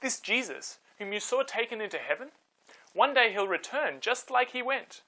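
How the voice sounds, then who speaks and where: Man reading as animated heavenly messenger. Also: sits high, moderate pace, pitched above the performer's own voice, heavily acted, poor audio. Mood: assured. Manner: questioning, declarative.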